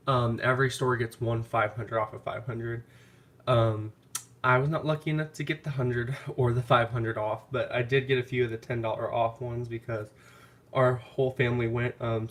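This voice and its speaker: A man's voice: medium pitch, fairly bright tone. A man with a stuffy, nasal voice from clogged sinuses, chatting casually and hesitantly through a haul video at home, with many ums along the way. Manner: rambling, hesitant, full of ums. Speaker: candle vlogger.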